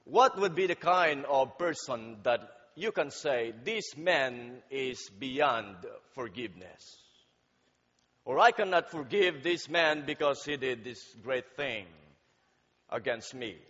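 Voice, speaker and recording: A man preaching a sermon in a church, praying and telling a story in a slow, deliberate, halting way with fillers, growing louder as the story builds. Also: medium pitch, animated. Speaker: guest preacher. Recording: dull sound with long hall reverb.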